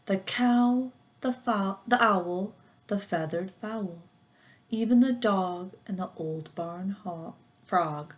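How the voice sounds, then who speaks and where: Slow, deliberate woman reading a storybook. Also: mid-range voice, husky. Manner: expressive, deliberate, rhythmic phrasing for children. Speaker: teacher reading a picture book aloud. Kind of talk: children's storybook read-aloud.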